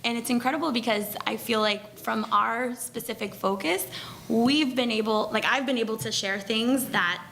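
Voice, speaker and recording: A woman's voice: fairly high pitch, moderate pace, gravelly, fairly bright tone, a little hiss or hum. A woman speaking as a panelist, talking in a chatty, loose way full of fillers and restarts. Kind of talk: panel discussion.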